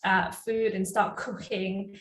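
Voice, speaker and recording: A woman's voice: medium pitch, little pitch movement, slow pace. Echoey, distant woman in casual discussion. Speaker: doctor co-hosting a health talk. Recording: echoey room, distant mic.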